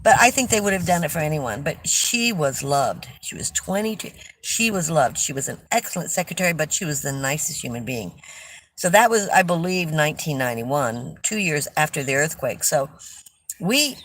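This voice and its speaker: An older woman with a low, loud voice chats casually on a livestream. She reads viewer comments and tells long stories, often restarting her sentences. A faint hiss of noise sits under her voice. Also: expressive, normal speed, poor audio. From California. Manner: chatty, warm storytelling with restarts. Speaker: livestream host.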